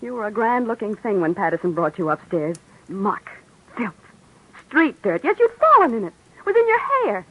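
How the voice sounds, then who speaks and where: A woman's voice: mid-range voice, big pitch swings, normal speed, highs cut off. A woman playing a weary, tearful young wife of a heavy drinker, in an old radio drama with bitter, sarcastic lines and pleading. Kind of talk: 1940s radio acting. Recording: faint noise on an old radio recording.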